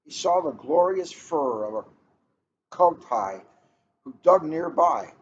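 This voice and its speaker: A middle-aged man with unhurried, deliberate phrasing, chatting casually and reading a storybook aloud. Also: on the high side, huge pitch swings, gravelly. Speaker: police officer reading a picture book aloud. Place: coffee shop, indoors. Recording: muffled, noisy room recording.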